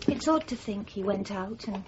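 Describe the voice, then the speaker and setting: An actress playing a composed, wry young dancer in a radio drama, with a cool, reserved way of talking. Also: female voice, medium pitch, expressive, normal speed, close to an everyday voice. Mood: pensive. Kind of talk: naturalistic radio drama acting. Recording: dull, noisy old radio broadcast.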